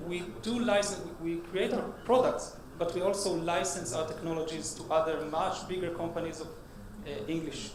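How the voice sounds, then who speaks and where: A man with a Hebrew accent from Israel giving a startup pitch at a conference, halting and full of uh fillers. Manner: halting, many uh fillers and restarts. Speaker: startup CEO presenting. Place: conference hall stage. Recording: echoey hall with noisy background.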